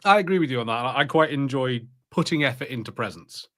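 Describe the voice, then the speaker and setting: A man co-hosting a podcast, chatting casually in a halting, hesitant way. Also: medium pitch, lively intonation, bright, forceful tone. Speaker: podcast co-host. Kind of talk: casual chat.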